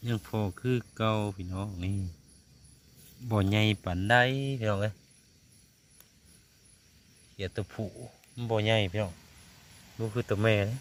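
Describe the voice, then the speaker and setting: A man's voice: fairly low pitch, fairly even intonation, measured pace, long pauses. A man with a northeastern Thai Isan accent giving casual outdoor vlog commentary, often addressing his viewers directly as he shows things. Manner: repeatedly addresses viewers while showing the catch. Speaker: vlogger hunting frogs. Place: outdoors in the countryside at night. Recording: clear outdoor noise, insects and crickets.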